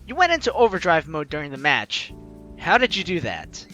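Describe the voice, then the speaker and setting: A man playing a game and reading its dialogue aloud, animated with joking asides. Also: fairly high pitch, moderate pace. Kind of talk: let's play narration. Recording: game music behind.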